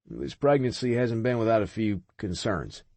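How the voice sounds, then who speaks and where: A man's voice: on the low side, expressive, moderate pace, warm tone, no room sound, natural voice. A man voicing a protective male vampire who speaks earnestly of his mate in an audiobook. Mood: concerned.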